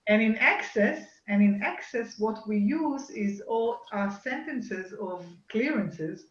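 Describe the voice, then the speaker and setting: Slow-spoken woman coaching on a call. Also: fairly high pitch, animated, echoey room, dull audio. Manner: unhurried, repeats points as questions. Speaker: co-host and facilitator. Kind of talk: casual online coaching talk.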